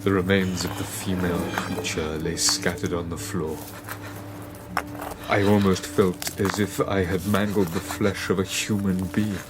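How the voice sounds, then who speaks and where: An actor playing a guilt-ridden young scientist in a dramatised audiobook, grave and literary in manner. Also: male voice, sits low, little pitch movement, a little slow, very noisy. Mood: grim, haunted. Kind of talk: dramatised audiobook acting and first-person narration. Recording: loud music behind the voice.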